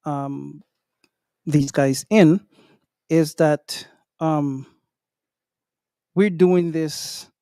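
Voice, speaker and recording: A man hosting an online community meeting, talking slowly with many pauses and ums. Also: fairly high pitch, dark tone, clean tone, dry recording, studio quality. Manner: slow, hesitant, many ums. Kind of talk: casual online chat.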